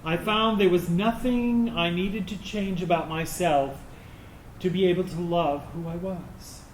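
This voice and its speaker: Man as deliberate, self-accepting Air Force veteran. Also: high-pitched. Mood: reflective, proud. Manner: deliberate, emphatic phrasing. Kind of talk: dramatic monologue in a staged reading. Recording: echoey room, faint background noise.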